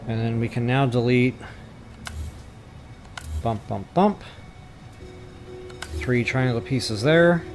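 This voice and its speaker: A man's voice: mid-range voice, takes it slowly, pauses often. Male tutorial host, mid-range voice, casual and unscripted, mildly self-deprecating, thinks aloud while gaming, music behind. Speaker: video game tutorial host. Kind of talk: casual screen-recorded game building tutorial.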